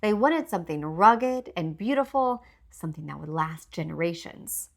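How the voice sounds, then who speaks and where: A woman reading a children's storybook aloud as its author, with deliberate, expressive scripted narration. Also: lowish voice, creaky.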